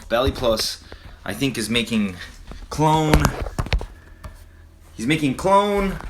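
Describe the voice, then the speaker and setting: A man's voice: mid-range voice, fairly bright tone, slight background noise. A man from Quebec whose first language is French, hosting a livestream and answering chat questions in a loose, hesitant way with fillers and swearing. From Quebec, Canada. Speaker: livestream host. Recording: roomy echo.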